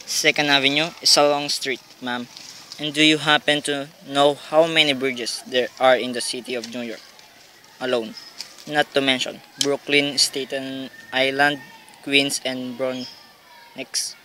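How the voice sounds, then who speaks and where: Bright-voiced, dismissive police sergeant, acted by a man. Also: medium pitch, little pitch movement, unhurried, slight background noise. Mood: skeptical. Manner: condescending, placating. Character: dismissive, patronizing city police sergeant on duty. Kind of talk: radio play acting.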